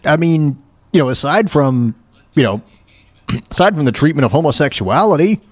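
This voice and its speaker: A man's voice: on the high side, lively intonation, normal speed, dry recording. A man chatting casually as a podcast host, rambling and repeating his phrases as he reacts. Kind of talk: casual chat, reacting to a video.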